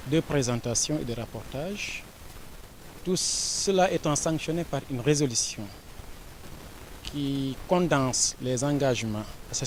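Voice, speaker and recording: A man with a Senegalese accent speaks as an education official in a formal interview. His delivery is measured and deliberate, with frequent pauses and fillers at a middle pitch. A faint ambient hum sits behind him. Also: rough recording.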